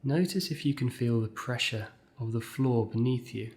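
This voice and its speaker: A man guides a mindfulness exercise at a medium pitch. He gives scripted instructions in measured, short phrases. Gentle pauses separate each step of the meditation.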